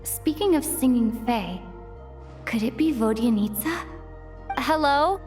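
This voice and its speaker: A woman's voice: high-pitched, animated, takes it slowly, a little mellow. An actress voicing a wary, curious young female adventurer in a video game, thinking aloud to herself. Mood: puzzled. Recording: long reverb, music underneath. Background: game music.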